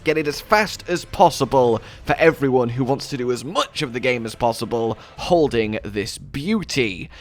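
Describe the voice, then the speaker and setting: A man's voice: mid-range voice, a bit bright. A man presenting a scripted video game walkthrough, with emphatic, dramatic phrasing.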